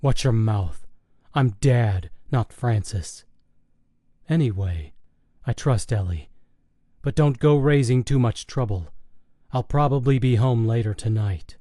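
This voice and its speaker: A man's voice: medium pitch, a little slow, pauses often, warm tone, no room sound, clean studio sound, natural voice. A man voicing a wry, firm but easygoing father in an audiobook, with a mildly stern manner. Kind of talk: audiobook character dialogue.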